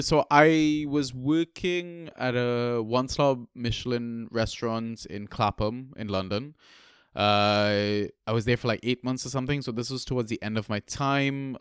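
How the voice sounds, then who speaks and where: Smooth-voiced Trinidadian-born podcast host. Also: male voice, fairly low pitch, no echo, clean studio sound. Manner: laid-back, swears freely, lots of fillers. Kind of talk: casual chat, storytelling.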